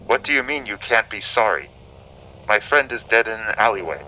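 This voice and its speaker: Earnest, bewildered radio correspondent, muffled. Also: male voice, on the low side, expressive, normal speed, sharp tone. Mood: confused, upset. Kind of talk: radio drama acting. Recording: dull, noisy audio.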